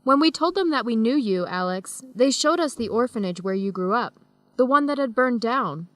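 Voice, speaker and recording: An actress playing a warm, enthusiastic young American woman researcher in an educational radio drama. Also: on the high side, expressive, normal speed, no echo, lightly acted. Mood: cheerful, admiring.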